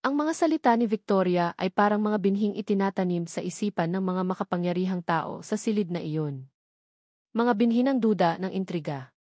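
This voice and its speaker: A woman's voice: mid-range voice, moderate pace, darkish tone, no room sound, studio quality. A woman with a Filipino accent reads a dramatic romance story as a scripted narration.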